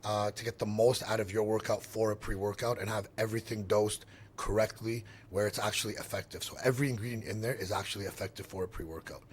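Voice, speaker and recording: A man in his forties, born in Syria, answering interview questions in a relaxed, plain-spoken way while getting over a cold. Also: fairly low pitch, quick pace, slight background noise. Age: 40s. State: recovering from a cold. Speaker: guest, company founder.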